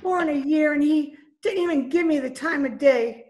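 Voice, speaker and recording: A woman acting scared young moll, high voice, slangy, pleading, streetwise, old-time radio melodrama, home call recording. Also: normal speed, darkish tone, close to an everyday voice. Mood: frightened. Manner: breathless pleading, slangy "gee" and "ya".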